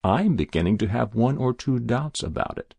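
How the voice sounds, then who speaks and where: Man as a gravelly, stubborn Yankee farmer. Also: medium pitch, expressive, moderate pace, darkish tone, dry recording, pitched above the performer's own voice. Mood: wary. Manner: folksy, plain-spoken, dogged. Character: stubborn, uneasy New Hampshire farmer. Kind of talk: dramatic literary storytelling.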